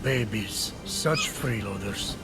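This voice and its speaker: A man's voice: lowish voice, expressive, slow pace. Dry, theatrical male myth storyteller. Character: grave, dryly wry male mythic storyteller. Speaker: story narrator. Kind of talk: scripted theatrical narration. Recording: loud game music behind.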